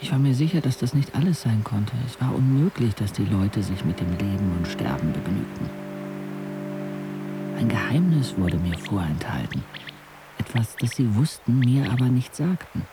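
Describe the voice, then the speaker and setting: A man's voice: lowish voice, very animated, moderate pace, over music. An actor playing a brooding, disillusioned young man who narrates his life in an introspective, sardonic way for a radio drama. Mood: searching, disdainful. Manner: introspective, sardonic, literary phrasing.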